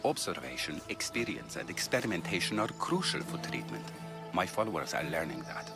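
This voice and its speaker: A man playing a brisk, opinionated master physician in a video game, didactic and brusque in manner. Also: deep voice, very animated, over music. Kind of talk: video game cutscene dialogue.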